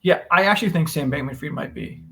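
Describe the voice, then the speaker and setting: A man's voice: on the high side, fast, vocal fry, a bit bright. An American man co-hosting a casual book discussion in an opinionated, emphatic way. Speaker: podcast co-host.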